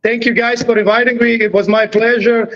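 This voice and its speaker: A man's voice: very high-pitched, level tone, brisk, fairly bright tone. A man from Croatia, a native Croatian speaker with a Croatian accent, talking casually as an interview guest. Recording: dull lo-fi remote call audio.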